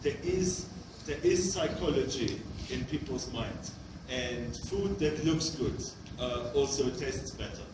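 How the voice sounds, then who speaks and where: A man's voice: mid-range voice, expressive, slow pace, background noise. A man in the audience objecting during a conference question, stammering through restarts. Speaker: audience member. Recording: very echoey room from far off.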